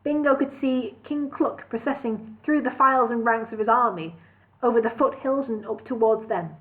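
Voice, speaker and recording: A woman's voice: on the high side, normal speed. A woman reading a comic novel aloud on a livestream, playful, with chatty asides. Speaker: reader of a parody novel. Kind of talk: casual livestream audiobook reading. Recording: tinny phone-like sound.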